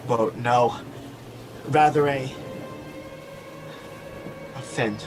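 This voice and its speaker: A man's voice: medium pitch, over music. A man playing a haunted shipwreck survivor, narrating a horror tale in a brooding, fragmented way. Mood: dread. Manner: slow, brooding, fragmented delivery. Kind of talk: dramatic horror comic narration.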